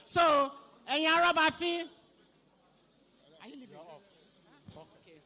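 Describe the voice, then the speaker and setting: A man's voice: extremely high pitch, a little slow, bright, forceful tone. A Nigerian man working as master of ceremonies at a live event, playfully repeating himself and calling out to guests in an echoey hall. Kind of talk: live hosting at a fundraising book launch. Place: large event hall, on a PA microphone. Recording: echoey hall public address.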